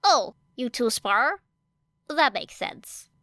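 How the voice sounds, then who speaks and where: A calm, kind teenage girl speaking gently and frankly, her voice moving expressively, put on by a woman reading aloud. The recording is close and dry. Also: a little high, takes it slowly, no room sound, voice pushed up, full character voice. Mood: curious. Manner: gentle, matter-of-fact.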